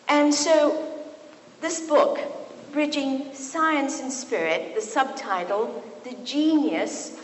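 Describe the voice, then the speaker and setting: A middle-aged woman from Kenya, a physician and author, giving a public talk with deliberate, emphatic pauses. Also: high-pitched. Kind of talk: public talk at a book event. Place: large resonant university hall. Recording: echoey hall, some background noise.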